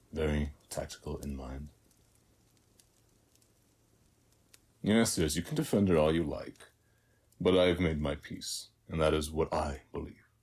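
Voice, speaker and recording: A man playing a weary, battle-hardened warrior of Ares in a scripted audio roleplay, speaking in measured, reflective short phrases. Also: a little mellow, full character voice. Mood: earnest, defiant. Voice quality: very deep, husky, breathy.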